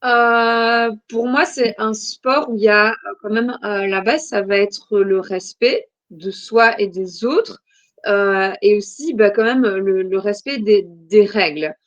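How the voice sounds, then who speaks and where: A woman from Belgium in her forties answers interview questions, pausing often and filling gaps with soft hesitations. Her voice sits at a middle pitch, and the recording is dry and close, with no room sound. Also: no room sound, muffled recording. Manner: hesitant, many "euh" fillers, thoughtful. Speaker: guest.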